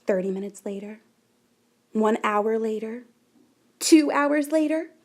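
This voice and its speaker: Animated, high-pitched woman reading a storybook. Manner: animated, deliberate, dramatic emphasis. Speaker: storybook reader. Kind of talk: expressive children's picture book read-aloud.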